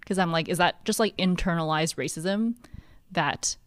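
A woman's voice: fairly low pitch, normal speed, no echo. A young woman from Hong Kong co-hosting a podcast, chatting casually and telling stories with lots of "like". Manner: chatty, heavy use of "like", storytelling. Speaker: podcast co-host.

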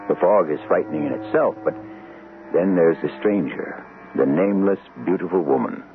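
An actor playing a solemn, philosophical radio mystery host, narrating with gravelly, deliberate, ominous delivery. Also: male voice, low voice, a little hiss or hum. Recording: tinny old radio broadcast. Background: music.

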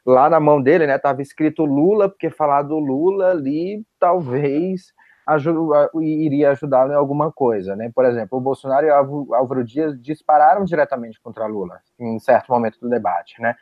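A man's voice: fairly high pitch, fairly quick, no echo. A man with a Brazilian accent giving political commentary as a panelist, on a dull, lo-fi recording. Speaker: journalist on a podcast panel.

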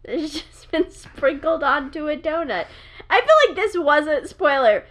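Giggly woman with a very high voice. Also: a little slow, fairly bright tone. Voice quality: very high-pitched voice. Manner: giggly, bursts into laughter often. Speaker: podcast co-host. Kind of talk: casual chat.

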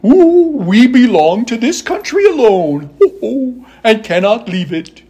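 A man's voice: very high-pitched, sing-song, slow, warm tone, slightly rough, pitched above the performer's own voice, heavily acted. A man voicing a gleeful winged monkey king in a storybook, breaking into cackles between phrases. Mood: gleeful, teasing. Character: giggling boastful male winged monkey king.

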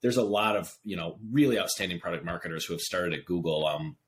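A man's voice: deep voice, quick pace. A man hosting a podcast in a casual, informal and affirming conversational style. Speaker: podcast host.

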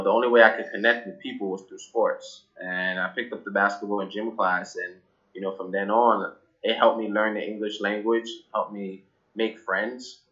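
A man's voice: fairly low pitch, bright, forceful tone, dull audio. A young man from Cameroon, a guest in a casual interview, talking loosely with many fillers and restarts. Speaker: guest, app founder.

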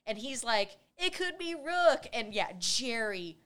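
A woman's voice: on the high side, sing-song, takes it slowly, a bit bright, clean tone. A woman co-hosting a podcast, recapping an old film in casual chat, laughing often and acting out characters' lines. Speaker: podcast co-host.

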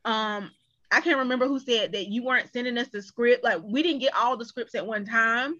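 A woman's voice: a little high, fairly quick, sharp tone, muffled recording. A woman guest speaking casually and a little hesitantly, thinking aloud as she explains her experience. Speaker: voice actor guest. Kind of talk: casual chat.

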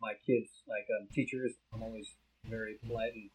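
A man's voice: on the low side, steady tone, brisk, slight background noise, poor audio. A man from the United States with an American accent, whose first language is Vietnamese, co-hosting a casual chat and hedging often with "like" and "um". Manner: laid-back, hedges with "like, um". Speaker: podcast co-host.

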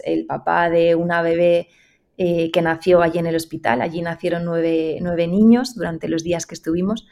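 A woman's voice: fairly low pitch, creaky, darkish tone. A woman hosting a podcast interview, speaking in a thoughtful way with many fillers. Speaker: host, hospital pharmacist. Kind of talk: interview conversation.

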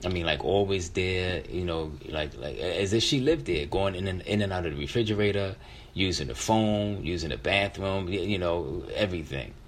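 A man's voice: low-pitched, moderate pace. A middle-aged man telling a personal story in a casual, conversational way as a storyteller.

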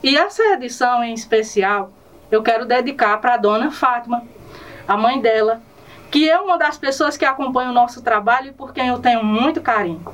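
A woman hosting an interview, asking questions in warm, formal phrasing. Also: fairly high pitch, a little fast. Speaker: interview host. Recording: distant microphone.

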